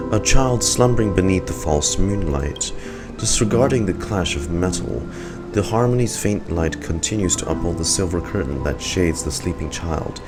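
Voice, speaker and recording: A man with a very deep voice streams a game. He reads the text aloud calmly, stumbling now and then and adding casual remarks, while music plays clearly behind him.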